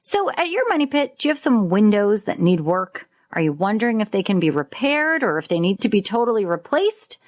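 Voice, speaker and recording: A woman co-hosting a radio advice show, presenting semi-scripted tips in a clear, instructive way. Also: mid-range voice, big pitch swings, fairly quick, few pauses. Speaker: co-host of a home improvement radio show. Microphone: studio microphone.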